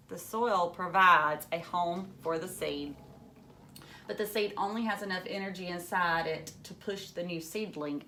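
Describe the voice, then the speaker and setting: A woman's voice: fairly low pitch, bright, forceful tone, a little hiss or hum. A woman teacher reading a children's book aloud, adding simple, encouraging explanations in short phrases and putting questions to young listeners.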